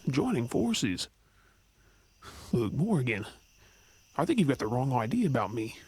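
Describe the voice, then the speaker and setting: A man's voice: medium pitch, sing-song, unhurried, a little mellow, breathy edge, heavily acted. A man playing an anxious, blunt young male werewolf in an audio drama, sarcastic and out of his depth. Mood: shocked, anxious. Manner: blunt, agitated, sarcastic, casual swearing. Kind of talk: audio roleplay acting. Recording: background noise with chirping crickets.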